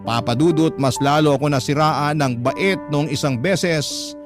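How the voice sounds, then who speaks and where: Higher-pitched Filipino man narrating over music. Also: unhurried. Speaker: radio drama narrator reading a letter sender's story. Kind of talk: dramatic scripted first-person narration. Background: dramatic background music.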